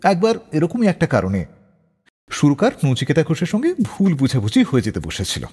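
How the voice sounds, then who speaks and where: A man's voice: on the high side, huge pitch swings, normal speed, warm tone, slightly rough. A man hosting a music radio show, narrating scripted stories in a dramatic storyteller's manner. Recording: long reverb, music behind the voice. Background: background music.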